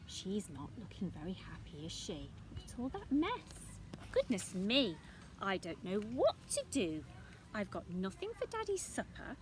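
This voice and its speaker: A woman's voice: a little high, slight background noise, low-quality recording. A woman reading a picture book aloud expressively for young children, breaking off for chatty questions to her listeners.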